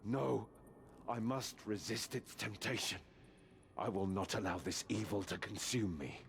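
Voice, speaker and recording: Fantasy game knight: lowish voice, honourable, resolute, formal, earnest. Faint background ambience. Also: male voice, unhurried, creaky, slight background noise. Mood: strained resolve. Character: proud, honourable male knight resisting inner corruption. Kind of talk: scripted fantasy game acting.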